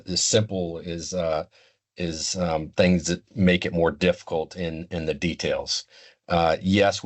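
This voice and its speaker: Older guy, real deep voice, interview guest, measured and halting, thinking as he explains. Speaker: interview guest, retired law enforcement communications expert. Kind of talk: unscripted interview answers.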